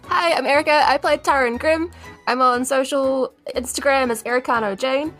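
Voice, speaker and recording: A woman's voice: high voice, moderate pace, fairly bright tone, faint music behind. A woman chatting casually as a podcast player, in a joking, self-deprecating way. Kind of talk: casual chat.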